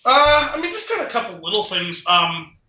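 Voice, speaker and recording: A man's voice: sits high, sing-song, normal speed, pushed and bright. A man chatting casually as a podcast co-host about film, in a rambling, tentative way. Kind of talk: casual film discussion chat. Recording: roomy, echoing room sound.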